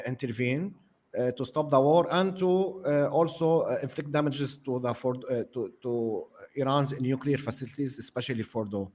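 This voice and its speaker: A man's voice: mid-range voice, darkish tone. A man whose first language is Arabic, talking in a casual panel discussion, hesitant, with many fillers and restarts. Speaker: guest commentator.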